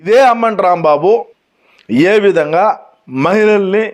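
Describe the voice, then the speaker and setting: A man's voice: sits high, unhurried, noisy background. A man talking as a political commentator in a satirical style.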